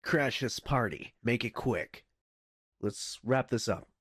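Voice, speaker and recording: A man playing a wry, bossy headquarters dispatcher in a video game, giving deadpan official orders with goofy, sarcastic asides. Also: mid-range voice, takes it slowly, slightly rough, no room sound, studio quality. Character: wry, bossy, easily exasperated male headquarters dispatcher sending prisoners on missions. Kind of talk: video game mission briefing voice lines.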